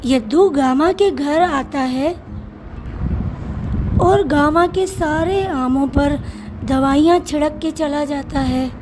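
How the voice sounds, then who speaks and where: A woman narrating a cartoon story in a slow, measured storytelling manner. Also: high-pitched, noisy background. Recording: cartoon voice-over with music.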